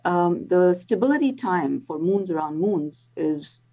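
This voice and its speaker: Soft-spoken older woman explaining science. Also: lowish voice, expressive, lo-fi sound. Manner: thoughtful, pauses with frequent ums and restarts. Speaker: planetary scientist guest. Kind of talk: explanatory interview answers. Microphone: video call.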